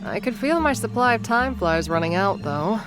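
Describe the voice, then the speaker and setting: A woman's voice: medium pitch, expressive, normal speed. Woman narrating a goofy audio drama, rambly, lots of uhs, music behind her. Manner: rambling, filler-heavy, wry asides to listeners. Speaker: narrator and lead character. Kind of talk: comedic first-person audio drama narration.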